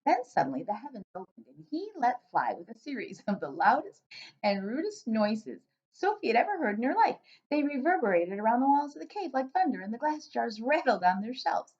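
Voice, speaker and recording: A woman teacher narrating a children's storybook aloud in an expressive style for pupils. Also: on the high side, fairly quick, slightly rough, lo-fi sound.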